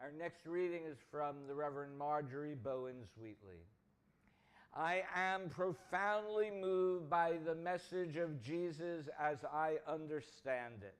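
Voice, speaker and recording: A man speaking as a minister to his congregation, reading and preaching with measured, deliberate phrasing. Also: on the high side, clean tone. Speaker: minister leading a church service. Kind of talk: sermon, reading aloud to a congregation.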